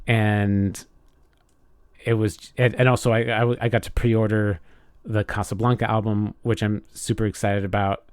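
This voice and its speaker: A man's voice: lowish voice, flat delivery, normal speed, clear, resonant tone, dry recording, studio quality. A man co-hosting a podcast in casual chat, effusive and enthusiastic, often restarting his phrases. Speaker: podcast co-host.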